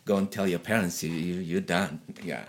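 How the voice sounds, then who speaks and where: A very deep-voiced middle-aged man from Eritrea, Tigrinya his first language, speaks in a relaxed conversational interview. He tells his stories freely, restarting sentences and laughing as he goes. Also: normal speed. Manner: chatty storyteller, frequent restarts and laughs.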